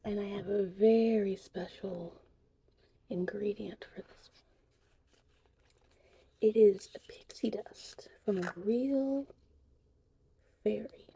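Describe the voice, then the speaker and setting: A very dark, soft, whispery voice of a whimsical witch played by a woman in an ASMR roleplay. She teaches slowly and soothingly in a breathy hush, close to the mic. A faint noise sits behind her. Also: medium pitch. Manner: slow, soothing, unhurried. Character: gentle, whimsical female witch teaching potion making. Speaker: ASMR performer.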